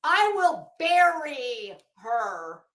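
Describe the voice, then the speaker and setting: Casting: female opinion commentator, slightly high voice, unhurried pace, emphatic and cuttingly scornful, foul-mouthed, dramatic pauses. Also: very animated, a bit bright, echoey room. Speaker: online commentator. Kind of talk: informal opinionated commentary on a legal dispute.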